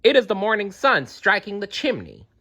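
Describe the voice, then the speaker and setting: A man's voice: high-pitched, big pitch swings, moderate pace, pushed and bright, voice pushed up, full character voice. A man voicing a cold, impatient mother in a storybook, curt and dismissive. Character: cold, impatient, cruel mother of two children. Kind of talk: storybook character voice in a read-aloud.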